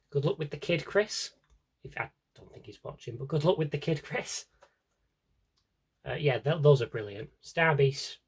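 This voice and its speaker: A man's voice: a little high, husky. A man talking casually and off the cuff as a vlogger, rambling with tongue-in-cheek asides. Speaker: vlogger showing an audio drama CD collection. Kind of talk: casual unscripted chat to camera.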